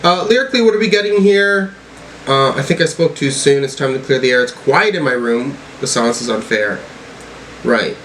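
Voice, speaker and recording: Halting man thinking aloud, faint background noise. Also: medium pitch, fairly bright tone, rough recording. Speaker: music reaction host. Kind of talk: casual album reaction commentary.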